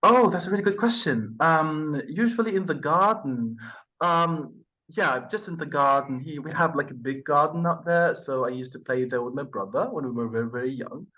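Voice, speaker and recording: A man in his thirties from Libya, answering mock speaking test questions over a video call with many pauses and fillers. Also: on the high side, sharp tone, lo-fi sound. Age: 30s. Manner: hesitant, thoughtful, many fillers and restarts. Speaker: IELTS test candidate and channel host.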